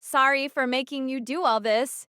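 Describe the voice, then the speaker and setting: An actress playing an earnest, determined young team captain in an audio drama, with a businesslike manner. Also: female voice, high voice, fairly even intonation, moderate pace, sharp tone, clear, resonant tone, dry recording, studio quality. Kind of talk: anime-style audio drama acting.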